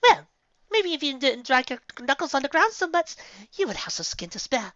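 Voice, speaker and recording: A refined lady unicorn, acted out by a story narrator, high and lilting, with prim mock politeness and cool, haughty wit. The sound is close and slightly dull. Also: sing-song, moderate pace, fairly bright tone, no echo. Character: refined, haughty female unicorn, coolly witty. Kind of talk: fan fiction story character voice.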